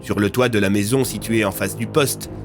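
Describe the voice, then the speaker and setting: A man's voice: lowish voice, fairly even intonation, moderate pace, over loud music. A man reading scripted French audio fiction as its narrator.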